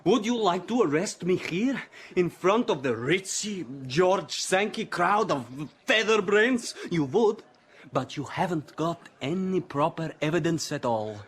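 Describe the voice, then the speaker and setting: Arrogant young suspect, high-pitched, swooping. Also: male voice, lively intonation, breathy edge, full character voice. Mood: smug, goading. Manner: mocking, theatrical, drawn-out. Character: arrogant, taunting, clever young male suspect. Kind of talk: radio drama acting.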